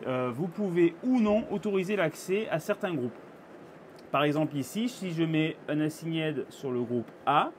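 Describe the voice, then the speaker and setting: Higher-pitched man, informal explainer. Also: a little hiss or hum. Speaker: presenter of an equipment tutorial and review. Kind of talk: informal demonstration and explanation to camera.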